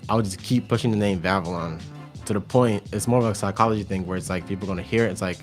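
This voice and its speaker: Young guy on a radio show, kinda low voice, rambly with lots of "you know", faint music under it. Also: quick pace. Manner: laid-back, rambling with many fillers. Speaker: guest, clothing brand founder. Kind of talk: casual radio interview. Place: radio studio.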